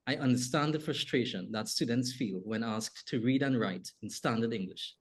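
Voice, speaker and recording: A man with a Trinidadian accent hosting a talk show, reading a prepared opinion piece in a measured way before questioning his guests. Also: medium pitch, breathy edge. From Trinidad and Tobago. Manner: measured, reads a prepared essay. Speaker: podcast host. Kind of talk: scripted opinion monologue, then interview.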